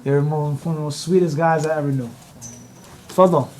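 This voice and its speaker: A man's voice: fairly high pitch, steady tone, a little fast, dark tone, slight background noise. A man teaching a class, chatting with his students in a teasing way and dropping Arabic phrases into his speech. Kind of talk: classroom talk and lecture.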